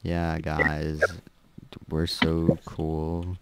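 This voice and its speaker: A man's voice: rumbling low, monotone, very slow, very noisy. A man chatting and joking with friends over voice chat while playing an online game. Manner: joking, mumbling banter. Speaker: gamer in voice chat.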